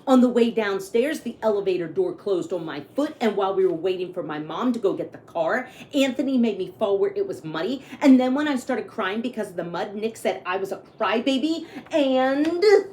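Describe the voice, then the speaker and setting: A woman's voice: mid-range voice, expressive, moderate pace, few pauses, a little mellow, slight background noise, natural voice. A woman voicing a grumpy, aggrieved young boy who narrates his bad day in a storybook, with emphatic complaining. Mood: indignant.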